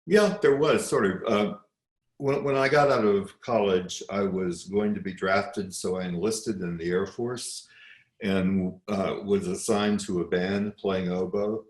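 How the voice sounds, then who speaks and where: An older American man from South Texas speaks in a notably low register. He talks reflectively as an interview guest, often doubling back and restarting phrases. The room adds a clear echo around his voice. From South Texas, United States.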